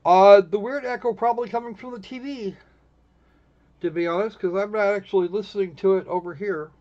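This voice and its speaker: A man giving casual, snarky live commentary on a game, blunt and halting, often restarting his phrases. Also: sits high, fairly even intonation, a little mellow. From United States. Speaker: live streamer playing a visual novel. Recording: dull, lo-fi stream audio.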